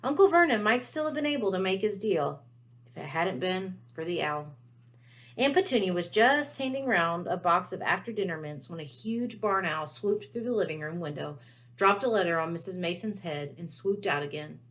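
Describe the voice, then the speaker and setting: A woman reading a children's book aloud as storyteller, with expressive narration. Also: fairly low pitch, normal speed.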